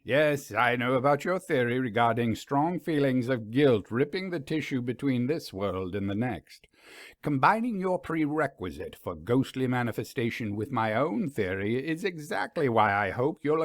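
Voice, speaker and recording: A man's voice: medium pitch, sing-song, normal speed, dry recording, studio quality, natural voice. A man voicing a frank, eager English professor and creature hunter in a storybook, with a scholarly, persuasive manner.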